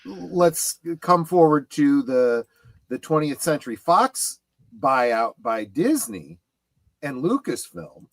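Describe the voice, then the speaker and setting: A man hosting a casual panel discussion on his channel, halting and emphatic as he thinks aloud. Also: a little high, big pitch swings, dry recording. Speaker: host of a pop culture streaming channel.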